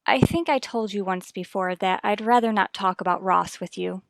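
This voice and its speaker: A woman's voice: medium pitch, moderate pace, creaky, no room sound, lightly acted. Woman acting a guarded, troubled radio-soap wife. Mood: guarded, weary. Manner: evasive, restrained politeness. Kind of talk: old-time radio soap opera acting.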